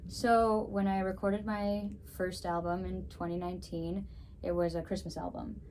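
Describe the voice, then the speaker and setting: A woman's voice: fairly low pitch, little pitch movement, moderate pace, darkish tone, a little hiss or hum, rough recording. A young woman, Greek her first language, answering interview questions in an upbeat, chatty way, often wrapping up with "so, yeah". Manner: upbeat, chatty, frequent "so, yeah" wrap-ups. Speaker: guest, figure skater and musician.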